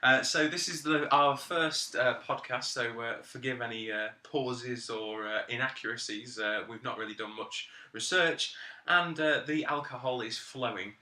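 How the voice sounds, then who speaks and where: A man's voice: medium pitch, normal speed, bright, forceful tone. A man hosting a casual, jokey podcast chat with friends, speaking in a hesitant, joking way. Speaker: podcast host. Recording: roomy, echoing amateur recording.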